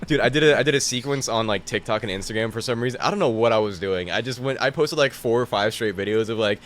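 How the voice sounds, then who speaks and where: A man's voice: medium pitch, quick pace, a bit bright, a little hiss or hum. A young man with a New Jersey accent, a stand-up comedian chatting casually on a podcast, laid-back and joking with plenty of swearing.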